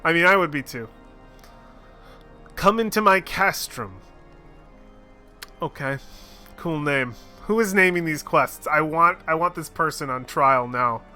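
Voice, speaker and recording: A man's voice: on the high side, lively intonation, measured pace, long pauses, pushed and bright, faint music behind. A man streaming a game, casually joking and swearing as he reacts to it. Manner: joking, swearing, laughs often.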